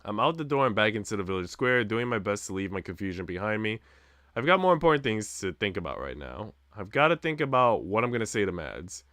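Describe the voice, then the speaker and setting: A man's voice: on the low side, big pitch swings, fast talker, fairly bright tone, no echo, clean studio sound. A man streaming a visual novel, reading the story narration expressively with casual asides.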